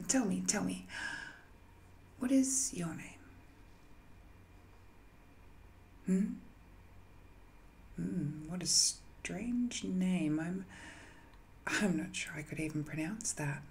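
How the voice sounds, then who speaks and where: A woman playing an ancient, banished magical villain in an audio roleplay, musing and seductive, with hesitant, intimate delivery that often softens toward a whisper. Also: on the low side, lively intonation, slow pace, long pauses. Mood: musing, curious. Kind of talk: scripted audio roleplay monologue, intimate and addressed to the listener.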